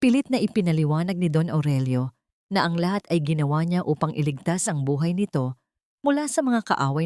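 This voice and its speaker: Female narrator for audio drama: lowish voice, solemn melodramatic delivery, dry recording. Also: darkish tone, no echo. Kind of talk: dramatic scripted story narration.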